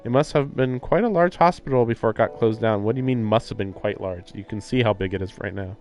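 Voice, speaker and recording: A man's voice: on the low side, quick pace, a little mellow. A man livestreaming a visual novel, casually reading narration aloud and adding sardonic, incredulous asides. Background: game music.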